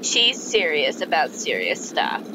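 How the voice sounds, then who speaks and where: A woman voicing a laid-back young female crocodile in a cartoon game, speaking in a flat, dry way. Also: a little slow, vocal fry, noisy background, exaggerated voice. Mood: dry, deadpan. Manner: low, flat, dry delivery.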